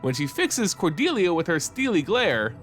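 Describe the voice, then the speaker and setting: Male fantasy lore narrator: slightly high voice, very expressive, theatrical scripted read over music. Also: moderate pace. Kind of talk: dramatic scripted storytelling and narration.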